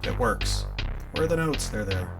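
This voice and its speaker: A young man with an upstate New York accent, hosting a casual live tutorial on music gear, chatty and relaxed, laughing often as he works. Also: mid-range voice, normal speed, distant mic. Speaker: livestream host teaching music gear.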